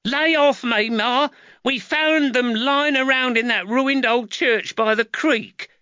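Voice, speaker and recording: A man's voice: very high-pitched, slow, pushed and bright, clear, resonant tone, dry recording, dull audio, pitched above the performer's own voice, full character voice. A man playing a whiny sulky teenage boy. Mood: protesting. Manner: whiny, protesting.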